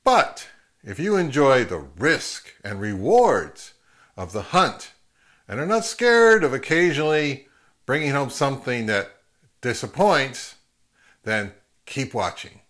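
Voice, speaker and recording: A middle-aged man hosting an advice vlog, speaking to camera in an emphatic way with deliberate pauses. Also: medium pitch, big pitch swings, fairly bright tone. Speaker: host of an audio gear channel. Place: home listening room, later an open grassy field.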